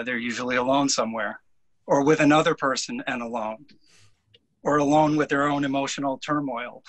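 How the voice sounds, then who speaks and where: Bright-voiced man, halting and reflective. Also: mid-range voice, poor audio. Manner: halting, thinking aloud with repeated starts. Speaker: guest fiction writer. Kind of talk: reflective interview conversation.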